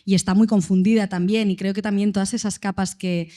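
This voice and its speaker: Woman on a panel, thinking out loud, flat mellow voice, low-ish. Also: fairly quick. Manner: thoughtful, searching for words. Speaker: actress. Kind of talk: panel discussion answer. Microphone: handheld microphone.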